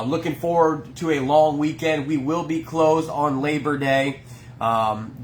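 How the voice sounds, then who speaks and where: A man with a congested, stuffy voice, chatting loosely with viewers on a live stream. His voice sits slightly on the higher side. A faint background hiss runs under the phone recording. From Maine. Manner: chatty, greets viewers by name. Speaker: small business owner hosting a live stream. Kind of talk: casual live-stream chat. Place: workplace, indoors.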